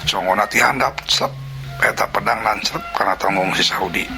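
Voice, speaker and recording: A man narrating a folk tale in a lively way, full of sound words and whispered asides. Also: on the low side, normal speed, vocal fry. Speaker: storyteller. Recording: music bed under narration. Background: background music.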